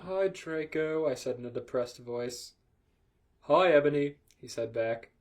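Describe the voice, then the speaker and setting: A man's voice: a little high, animated, a little slow. A man hosting a comedy podcast, reading fan fiction aloud with casual, jokey banter and ready laughter.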